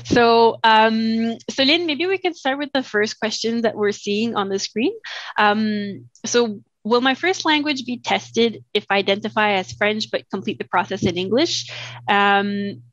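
A woman in her thirties co-hosting a bilingual webinar over a video call, upbeat and quick to laugh, moving between English and French. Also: on the high side, a bit bright, dry recording. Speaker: webinar co-host. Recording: dull, dry video call audio.

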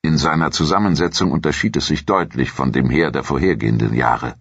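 A man reading a scripted historical documentary in a measured, dramatic storytelling manner. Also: a bass voice, vocal fry, slightly rough, no room sound, muffled recording. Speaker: narrator.

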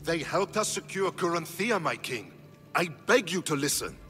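An actor playing an earnest, loyal Spartan officer in a video game, respectful and persuasive. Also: male voice, on the high side, sing-song, moderate pace, bright, forceful tone. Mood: pleading. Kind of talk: dramatic video game acting. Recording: music behind dialogue.

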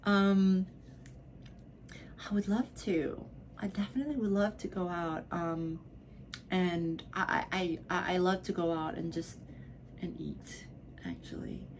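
Drunk woman, slow and gravelly. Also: on the low side, a little hiss or hum. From Philippines. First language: Filipino (Tagalog). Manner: slow, slurred, giggly, trailing off into whispers. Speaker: vlogger. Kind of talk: casual Q&A vlog. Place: bedroom at home, indoors.

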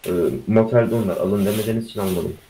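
Male gamer as obedient crew member, somewhat deep, rapid, deferential delivery. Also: fairly even intonation, a crowd in the background, low-quality recording. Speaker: crew member in game roleplay. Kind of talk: casual in-game roleplay chat.

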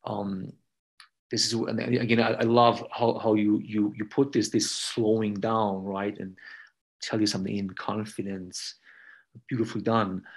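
A man's voice: fairly low pitch, level tone, a little slow, slightly rough. A man in his thirties from Austria, a podcast guest explaining ideas emphatically over a video call, often checking in with "right?". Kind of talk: conversational explanation. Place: indoor room at home.

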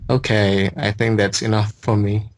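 A young man from Indonesia, a medical student, talks in a lowish voice on a video call. He comes across as eager and often repeats himself. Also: level tone, normal speed, no room sound.

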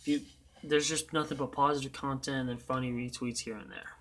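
A young man with a hoarse voice he is losing, rambling in a tired, casual way as he talks over a racing game. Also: medium pitch, steady tone, a little fast, a bit bright. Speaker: gaming channel host.

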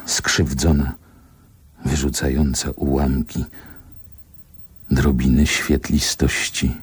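An actor playing a man who wistfully and wryly recalls his childhood in a literary radio play, deliberate and pausing between short phrases. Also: rumbling low, a little mellow, husky, no room sound, full character voice. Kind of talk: literary radio drama monologue, lyrical reminiscence.

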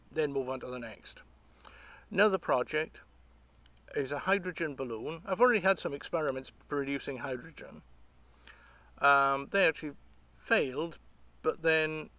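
A man in his fifties with a slightly high voice for a man. He talks off the cuff to a camera outdoors, in a halting, rambling way. He sounds like a hobbyist thinking through things aloud. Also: fairly bright tone, no echo. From Great Britain. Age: 50s. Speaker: hobbyist vlogger. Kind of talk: casual unscripted talk to camera. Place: outdoors among trees.

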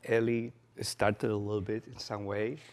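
A man from Chile presenting his own artwork to a room of people, talking slowly and haltingly with many pauses and "uh"s. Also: fairly low pitch, flat delivery. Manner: halting, pauses with "uh", soft-spoken. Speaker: guest artist presenting his work. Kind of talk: slow, unhurried artist talk with fillers.

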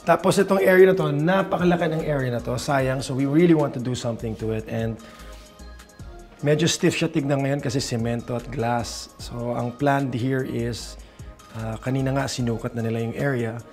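A man's voice: medium pitch, a little fast, a little mellow, soft background music. A man in his forties with a Filipino English accent, giving a casual, chatty house tour and laughing often, recorded on a handheld camera in a large house. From Philippines. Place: large modern house, indoors and on a roof deck. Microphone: handheld camera following him.

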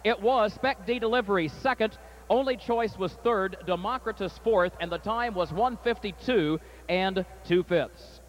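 A man calls a harness race over the track speaker, his voice pitched high for a man. He reads the field evenly and then rises to a near shout as the horses come home. A faint hum of fairground noise sits under him. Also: low-quality recording. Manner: rapid, rising to shouts at the finish. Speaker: track announcer. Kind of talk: harness race call and winner's circle interview.

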